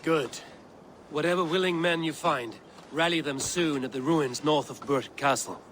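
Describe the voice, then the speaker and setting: An actor playing a resolute Viking war leader in a video game, speaking tersely and solemnly. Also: male voice, fairly high pitch, normal speed, background noise, lightly acted. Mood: solemn, firm. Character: resolute Viking warrior leader, measured and commanding. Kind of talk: cinematic video game acting.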